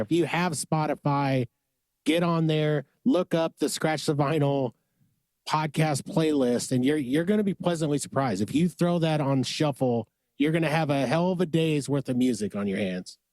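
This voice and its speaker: A man's voice: on the high side, a little mellow, no echo. A man hosting a podcast in a studio, with a smoky voice, upbeat and urging listeners to get out and support music. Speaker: podcast host. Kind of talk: casual podcast chat.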